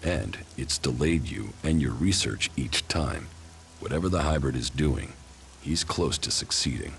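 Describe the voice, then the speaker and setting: An actor playing a grim, stoic commander in a video game, speaking in a terse, deadpan way. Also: male voice, extremely low pitch, unhurried, vocal fry, slight background noise, full character voice. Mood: grim, warning. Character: grim, stoic male commander, a soldier turned outcast.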